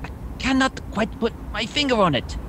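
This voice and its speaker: A high-voiced French sergeant acted by a man swoops through his lines with a French accent. He is an eager, halting sidekick in a comic radio mystery, with faint noise behind him. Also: very animated. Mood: excited.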